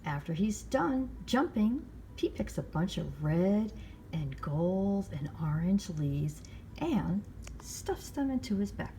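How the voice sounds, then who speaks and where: A woman hosting storytime, reading a picture book aloud to young children with measured, expressive phrasing. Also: medium pitch, slight background noise. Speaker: library storytime host.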